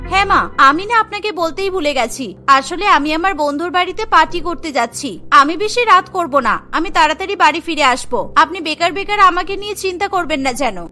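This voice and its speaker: A woman voicing a polite young daughter-in-law in a cartoon, reasoning gently and explaining herself. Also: very high-pitched, animated, brisk, few pauses, voice pushed down, exaggerated voice. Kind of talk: cartoon voice acting. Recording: music bed under the voice.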